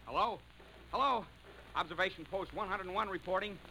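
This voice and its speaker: An actor in an old war radio drama playing an eager American army lookout with an urgent, slangy way of talking. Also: male voice, sits high, a little fast, pushed and bright, a little hiss or hum, exaggerated voice, poor audio.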